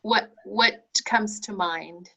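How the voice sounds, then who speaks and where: A woman's voice: mid-range voice, takes it slowly, vocal fry, pushed and bright. A woman facilitating a group discussion, encouraging and affirming each person who shares. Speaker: meeting facilitator.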